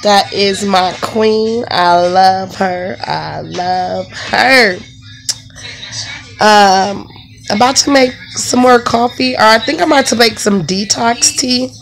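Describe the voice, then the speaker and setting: A woman in her thirties with a raspy voice from the flu, chatting casually to her phone camera at home, with lots of ums. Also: mid-range voice, takes it slowly. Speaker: vlogger, stay-at-home mom. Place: home bedroom, indoors. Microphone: phone camera held close.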